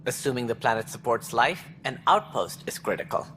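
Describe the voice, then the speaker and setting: An actor playing an officious alien station director in a video game, speaking precisely and formally with a wry, earnest streak. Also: male voice, mid-range voice, expressive, fairly quick, fairly bright tone, slight background noise, lightly acted. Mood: wry, advisory. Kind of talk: video game dialogue acting.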